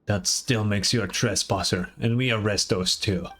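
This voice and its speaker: Man acting a stern, low-voiced game soldier. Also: fairly even intonation, normal speed, fairly bright tone, breathy edge. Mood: scornful. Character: stern Fatui soldier, scornful and accusing.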